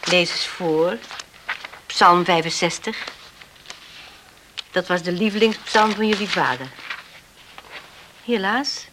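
A gentle middle-aged island mother in a radio play. She speaks very slowly in a fairly low voice, soothing and steady. There is faint noise on the old recording. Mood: quietly solemn. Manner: soothing, unhurried. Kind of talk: radio drama acting.

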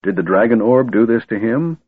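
A man's voice: fairly low pitch, brisk, husky, dry recording, pitched above the performer's own voice. Man reading as a worried young warrior. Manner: bewildered, demanding. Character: big, worried young male warrior, blunt. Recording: tinny phone-like dry recording.

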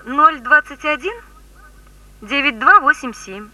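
High, bright teenage schoolgirl. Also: lively intonation, a little slow, full character voice. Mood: open, chatty. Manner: simple, artless, girlish answers. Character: polite teenage schoolgirl, daughter of an old friend. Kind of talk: 1970s Soviet radio play acting.

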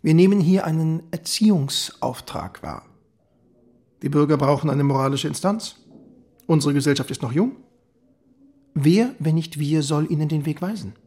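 An officious East German police chief in a radio play. His voice is husky and fairly high, his manner evasive and stiffly dismissive behind a show of calm. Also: normal speed, pauses often. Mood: preachy. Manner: hemming, then stiff and dismissive. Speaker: head of district police office. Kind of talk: radio drama dialogue.

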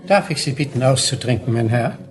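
An actor playing a stiff, snooty head waiter in a radio play, with icily formal and condescending politeness. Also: male voice, mid-range voice, expressive, brisk, over music, close to an everyday voice. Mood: icily dismissive. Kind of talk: German radio play acting.

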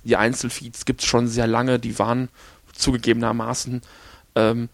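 A man hosting a podcast on his own, hoarse after an illness, rambling along with many fillers and restarts. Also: medium pitch, dry recording. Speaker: podcast host. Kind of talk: casual solo podcast talk.

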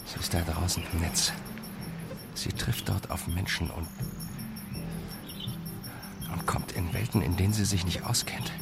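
An actor playing an irritable, intense male software developer in a radio drama, impatient and emphatic. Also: low voice, steady tone, a little slow, creaky, long pauses. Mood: irritated, insistent. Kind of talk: scripted radio drama acting. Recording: music behind the voice.

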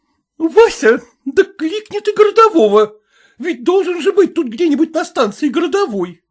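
Man putting on a high, plaintive wife. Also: very animated, normal speed. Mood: alarmed. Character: plaintive, nervous gentleman's wife.

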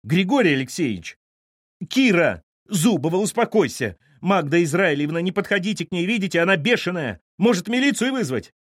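A man voicing a panicked office colleague shouting for help, in an audiobook reading. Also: sing-song, a little fast, husky, dry recording, studio quality, heavily acted. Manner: panicky, shrill.

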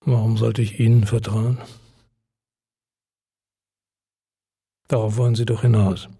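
A man's voice: fairly low pitch, little pitch movement, fairly quick, vocal fry, warm tone, husky. An actor playing a world-weary, distrustful man bent on revenge in a German radio drama, terse, brooding and guarded. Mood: guarded, skeptical.

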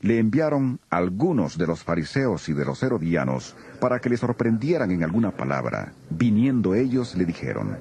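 A man narrating scripture from a script in a solemn, expressive way. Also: low voice, moderate pace, gravelly, few pauses.

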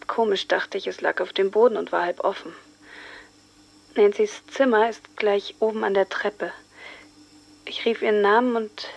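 A young woman acting a shaken teenage girl in a radio drama, recounting in a hushed, hesitant way as a witness. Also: mid-range voice, gravelly, dull audio. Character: shaken teenage girl recalling finding her murdered friend. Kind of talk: dramatised witness testimony in a German radio play.

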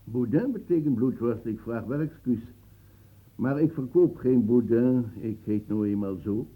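A breezy, teasing court physician in a radio play, his husky voice sitting at an ordinary pitch. The sound is thin and narrow, like a phone line. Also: a little slow. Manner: mocking, breezy.

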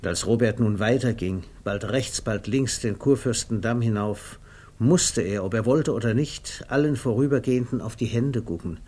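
Measured male narrator, vintage radio. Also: mid-range voice, darkish tone, slightly rough. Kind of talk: literary narration in a 1950s German radio play. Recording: faintly noisy vintage radio recording.

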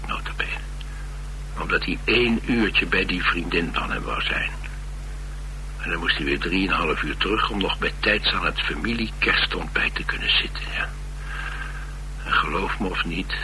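A man's voice: low-pitched, pushed and bright, full character voice, poor audio. A man with a Netherlands Dutch accent playing a blunt, world-weary chauffeur in a radio drama, confiding and sardonic. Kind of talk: radio drama acting, colloquial storytelling. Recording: radio drama with faint hum and noise.

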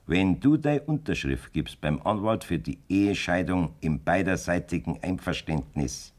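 A man's voice: low-pitched, moderate pace. An actor with a Bavarian accent playing a scheming, blunt aging farmer who talks down to his wife in a radio play. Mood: cold-blooded. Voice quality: blunt, self-assured and lively in tone.

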